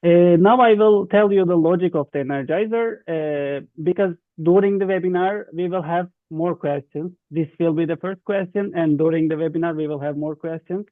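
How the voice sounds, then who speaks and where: A man with a Turkish accent explains instructions to an online group, halting and repeating himself in non-native English. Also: a little high, no room sound. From Turkey. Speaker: youth webinar facilitator. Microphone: video call. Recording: thin, dry phone-like online call.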